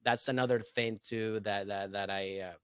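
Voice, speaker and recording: A man's voice: on the low side, steady tone, moderate pace, smooth, dry recording. Young venezuelan guy rambling about comedy, lots of you know and like, dry close mic. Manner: rambling, many restarts and "you know, like" fillers. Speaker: stand-up comedian guest. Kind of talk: casual podcast chat.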